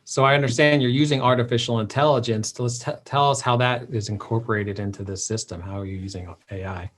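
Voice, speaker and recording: Fast-talking male podcast host. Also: mid-range voice, steady tone, fairly bright tone. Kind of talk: podcast interview.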